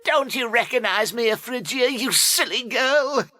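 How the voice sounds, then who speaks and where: A woman's voice: on the high side, lively intonation, measured pace, bright, forceful tone, no echo, pitched above the performer's own voice, full character voice. A woman voicing a wounded, haughty male demon cat in an audio story. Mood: impatient, haughty.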